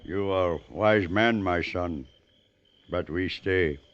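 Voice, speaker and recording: An actor playing a weary, dignified old Pawnee chief in a radio western, speaking in halting, simple sentences. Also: male voice, sits low, a bit bright, highs cut off. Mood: grave, resigned. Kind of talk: old-time radio drama acting.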